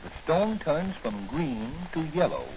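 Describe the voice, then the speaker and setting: An actor playing a kindly older apothecary doctor and mentor in a 1940s radio drama. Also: male voice, a little high, big pitch swings, measured pace, darkish tone, slight background noise. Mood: thoughtful. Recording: muffled old 1940 radio recording with music.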